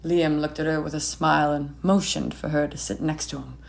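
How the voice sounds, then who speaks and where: A woman's voice: low voice, steady tone, fairly quick, gravelly. A woman reading a comic scripted story as its narrator.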